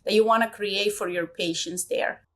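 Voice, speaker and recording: A woman hosting a podcast interview, enthusiastic, her phrasing slightly halting. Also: mid-range voice, steady tone. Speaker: podcast host.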